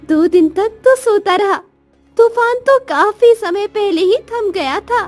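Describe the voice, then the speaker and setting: Woman voicing very high-pitched motherly nature goddess. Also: lively intonation, slow pace, faint music behind, heavily acted. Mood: solemn, consoling. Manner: admonishing, maternal, commanding. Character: Mother Nature, stern yet motherly goddess to all creatures. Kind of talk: dramatic Hindi cartoon story dialogue.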